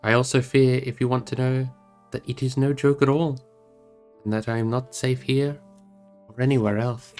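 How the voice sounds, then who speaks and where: A man's voice: mid-range voice, little pitch movement, normal speed, a little mellow, soft background music, lightly acted. A man voicing an earnest, guarded male hobbit hero with a careful, hesitant manner in a storybook reading. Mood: uneasy.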